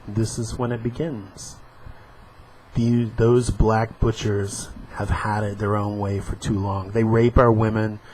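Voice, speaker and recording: A man's voice: lowish voice, flat delivery, slow, darkish tone, a little hiss or hum, rough recording. A man reading aloud as a fanatical male action hero from a comic, declaiming hateful lines. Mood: ranting.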